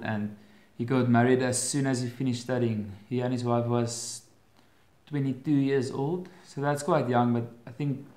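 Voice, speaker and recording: A young man in his twenties speaks with an Afrikaans accent, chatting casually to a webcam at his desk. He talks hesitantly, often restarting his phrases. His mid-pitched voice bounces around an echoey room. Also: a little mellow. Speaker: vlogger. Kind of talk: casual Q&A vlog. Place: bedroom at home, indoors.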